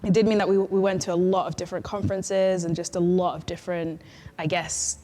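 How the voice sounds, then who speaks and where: A woman's voice: lowish voice, flat delivery, brisk, dark tone. A young woman guest in a casual interview, telling her story in a chatty, reflective way with many fillers. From grew up in Norway, then the UK. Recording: long reverb, faint background noise.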